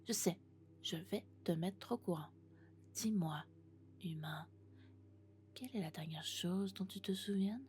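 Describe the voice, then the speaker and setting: A woman playing a friendly, doting female robot in an ASMR roleplay, speaking gently and often in whispers. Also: lowish voice, unhurried, pauses often, slight background noise, lightly acted. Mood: gentle, cheerful. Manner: gentle, reassuring, alternating soft speech and whispers. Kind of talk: French ASMR roleplay, soft-spoken and whispered.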